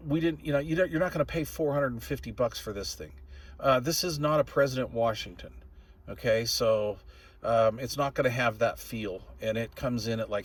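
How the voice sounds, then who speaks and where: A middle-aged or older man giving a casual, plain-spoken and opinionated product review as a hobbyist host. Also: medium pitch. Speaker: radio hobbyist channel host reviewing gear.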